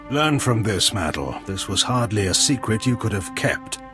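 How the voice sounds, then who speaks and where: Casting: ruthless, formal military admiral in a sci-fi game, widely swinging intonation, score underneath. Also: male voice, on the low side, big pitch swings, moderate pace. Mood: stern, haughty. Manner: formal, authoritative, haughty. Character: haughty male imperial admiral, commanding and ruthless. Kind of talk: video game cutscene acting. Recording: game music behind the voice.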